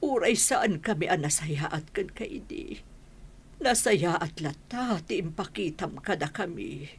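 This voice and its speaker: A woman playing a weak, remorseful older mother-in-law in an Ilocano radio drama, speaking haltingly. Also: on the low side, expressive. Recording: faint ambient noise.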